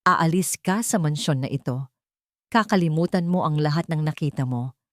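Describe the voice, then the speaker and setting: A woman's voice: low voice, moderate pace, no echo, studio quality, natural voice. Woman acting cold, menacing rich villainess. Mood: menacing threat. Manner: venomous, controlled, threatening.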